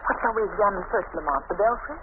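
A woman's voice: medium pitch, expressive, fast, natural voice. Woman as inquisitive young lady companion, polite, old radio acting, tinny, heavy hiss. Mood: composed.

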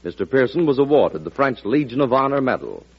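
A man's voice: mid-range voice, big pitch swings, fairly quick, a little hiss or hum. An American man making promotional announcements in the style of a 1940s network radio announcer. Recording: husky, muffled old radio broadcast.

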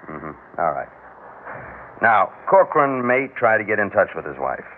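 A man playing a calm, reassuring police lieutenant in charge, in an old radio drama. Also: fairly low pitch, moderate pace. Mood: calm, businesslike. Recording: narrow-band vintage radio recording, faint noise.

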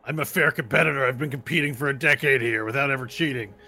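A man's voice: mid-range voice, steady tone, fast talker, bright, forceful tone. A man voicing an indignant orc competitor in a tabletop game, defensive and protesting.

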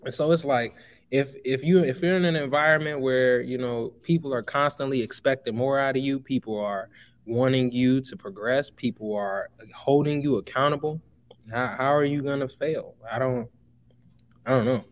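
A young man in his twenties from Chicago talks as a podcast guest. He speaks emphatically, in a motivational tone, and keeps calling the listener bro. His voice sits at an average pitch for a man. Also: fairly even intonation, moderate pace. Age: 20s. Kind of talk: casual podcast interview.

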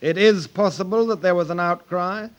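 A man's voice: sits high, normal speed, natural voice. An actor playing a stern, troubled male fire chief in a radio drama, with a weighty, official manner. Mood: probing, stern.

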